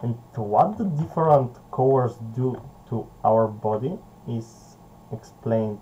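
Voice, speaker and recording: A young man with a Bulgarian accent, not a native English speaker, leading a screen-recorded software tutorial in a halting way, with fillers and soft laughs. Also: mid-range voice, lively intonation. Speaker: software company founder. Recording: dull, lo-fi home recording with faint hiss.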